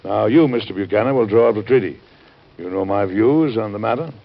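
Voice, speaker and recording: A man playing a weary, principled middle-aged president in a historical radio drama, speaking formally, firmly and with measured delivery. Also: lowish voice. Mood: businesslike. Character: weary, principled American president, stern and exacting. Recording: dull lo-fi vintage radio recording.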